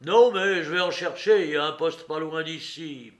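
A rough, hot-tempered fairground man from a novel, as a male reader plays him. He blusters in exclamatory working-class slang, loud and raised in pitch. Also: moderate pace, natural voice. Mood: angry, threatening.

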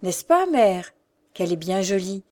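A woman's voice: medium pitch, big pitch swings, unhurried, a little mellow. A woman voicing a kind-hearted young male knife-grinder, earnest and eager, with rustic colloquial speech in a storybook. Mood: smitten.

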